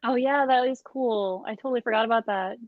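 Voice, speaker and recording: A woman's voice: fairly high pitch, fairly quick, phone-quality sound, crowd noise. A woman talking casually as a panelist in a live book club chat.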